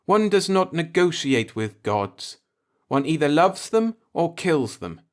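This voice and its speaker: A mournful old alien man, acted out by a male narrator, speaks in a raised, swooping pitch. He is proud and devout, with a bitter, formal solemnity suited to a science fiction storybook. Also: big pitch swings, slow pace. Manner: formal, solemn, grieving speech.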